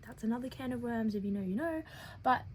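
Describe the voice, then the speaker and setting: A young woman with a childlike head voice, telling her own story in an earnest, thoughtful vlog with frequent filler pauses. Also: on the high side, expressive, darkish tone, a little hiss or hum. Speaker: web series creator.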